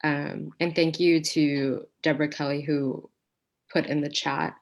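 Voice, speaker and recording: A woman in her forties, a teaching artist, reflecting thoughtfully in a workshop discussion with hesitant pauses. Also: low voice, flat delivery, vocal fry. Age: 40s.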